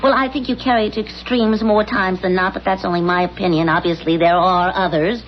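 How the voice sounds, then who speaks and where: An actress playing a bossy, scheming wife who is insistent and brisk in a 1970s radio drama. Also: female voice, mid-range voice, steady tone, close to an everyday voice. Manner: insistent, brisk, thinking aloud. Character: bossy, scheming wife, impatient with her husband. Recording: muffled, noisy old radio recording.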